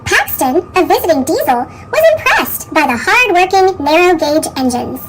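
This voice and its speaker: Woman, chipmunk effect, extremely high pitch, lively storybook narration, noisy recording. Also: moderate pace. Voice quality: artificially squeaky chipmunk voice. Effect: sped-up chipmunk pitch shift. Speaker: storybook reader. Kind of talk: scripted read-aloud children's story.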